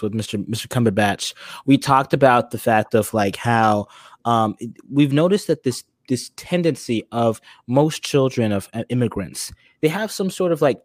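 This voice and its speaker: A man's voice: medium pitch, no room sound, clean studio sound. A man hosting a casual podcast interview, asking questions in a rambling way full of fillers. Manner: rambling, many fillers like "you know". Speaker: podcast host.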